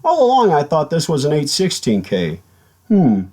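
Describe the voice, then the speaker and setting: A man giving a casual, hands-on workshop explanation as a hobbyist restorer, haltingly thinking aloud as he works. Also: on the high side, wildly swooping pitch, warm tone. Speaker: hobbyist radio restorer and video maker. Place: indoor home workshop at a workbench.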